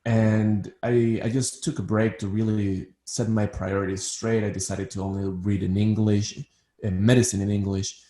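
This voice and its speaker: A man from Ecuador speaks with a fairly low voice as an interview guest. He talks thoughtfully and often repeats words while starting a sentence. The recording has a noticeable roomy echo. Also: mellow. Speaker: guest, psychiatrist. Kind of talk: interview conversation.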